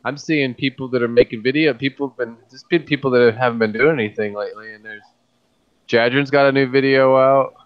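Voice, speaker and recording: A man's voice: medium pitch, fairly even intonation, brisk, clean tone, no echo, dull audio. A man chatting on a group video call who hesitates and restarts his sentences often. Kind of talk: casual chat.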